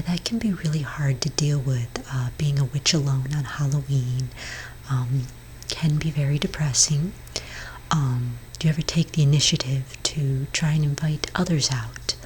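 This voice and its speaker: A woman speaking in a breathy whisper for a soft-spoken ASMR roleplay, playing a gentle, hesitant therapist for witches. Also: low-pitched, a little hiss or hum, poor audio. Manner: gentle, hesitant, halting counsel.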